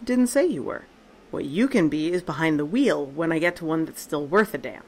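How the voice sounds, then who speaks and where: A woman's voice: deep voice, expressive, normal speed, a little mellow. A woman playing Tessa, a tough, sarcastic and profane survivor, in a fan audio drama. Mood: wry.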